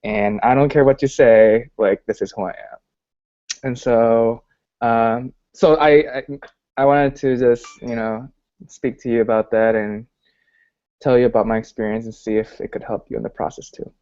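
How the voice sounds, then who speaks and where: A man from Paraguay speaks in a reflective, earnest way, pausing on fillers as he gathers his thoughts. His voice is smooth and clear. The audio is dry and muffled, like a lo-fi call. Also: mid-range voice, steady tone, dark tone, dry recording. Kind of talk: casual video-call discussion.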